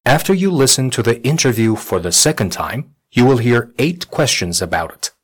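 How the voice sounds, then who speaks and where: A man reads out item numbers and exam instructions in a measured, formal way. His voice has a slightly husky, breathy edge at an ordinary pitch. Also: very animated. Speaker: test announcer.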